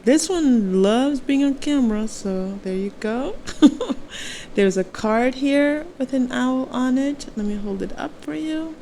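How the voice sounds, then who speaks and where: A woman host speaking casually to viewers as a tarot reader, gently reassuring and halting as she reflects. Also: sits high. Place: temporary indoor recording spot. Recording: steady air-conditioner hum behind voice. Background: air conditioning running.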